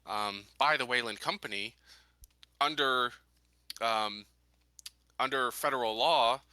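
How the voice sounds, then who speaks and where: A man talking casually as a player in a tabletop roleplaying game, thinking aloud in a deliberate, halting way. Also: medium pitch, pushed and bright, no echo. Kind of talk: casual in-character and out-of-character game talk.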